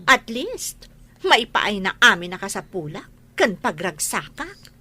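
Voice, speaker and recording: A woman's voice: medium pitch, sing-song, measured pace, sharp tone, slight background noise. A woman acting in a melodramatic radio drama as a scornful, taunting girlfriend. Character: scornful, taunting unfaithful girlfriend of an injured man.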